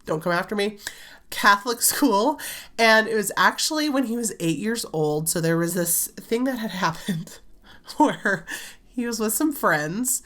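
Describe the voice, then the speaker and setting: A woman's voice: medium pitch, fairly bright tone. A woman hosting a casual storytelling vlog, chatty and giggly, full of "like" fillers, slightly congested as she recovers from a cold. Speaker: children's book author and channel host.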